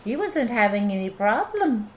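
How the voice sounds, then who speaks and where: A woman's voice: a little high, sing-song, normal speed, a little mellow. A woman storyteller and teacher reading a children's storybook aloud, expressively, with simple explanatory asides. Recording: echoey room.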